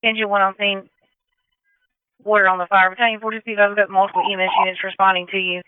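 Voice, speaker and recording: A woman's voice: mid-range voice, level tone, fast talker, smooth. A woman working as an emergency dispatcher, reading back information in a businesslike way over two-way radio. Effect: two-way radio transmission. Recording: tinny telephone-like radio dispatch channel.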